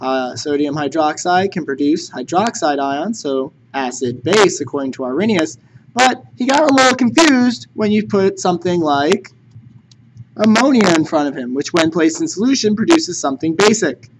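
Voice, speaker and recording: A man's voice: on the high side, animated, normal speed. A man teaching chemistry in a recorded lecture, conversational and jokey. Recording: noticeable background noise.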